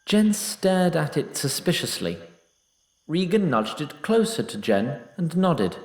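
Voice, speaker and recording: A man's voice: fairly high pitch, big pitch swings, takes it slowly, a little mellow. A man narrating a scripted fantasy story in a formal, wry manner. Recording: roomy echo.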